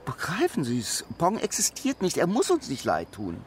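Prim, high-voiced little alien gentleman. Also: huge pitch swings, normal speed, slight background noise. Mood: insistent. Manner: formal, precise, earnest. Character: tiny alien gentleman, polite, precise, earnest, slightly prim. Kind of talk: German children's radio play acting.

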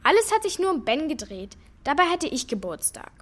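A frank, cheeky eleven-year-old in a children's radio drama. Her high voice is very animated and expressive. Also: fairly quick. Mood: chatty, frank. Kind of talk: German children's radio play acting.

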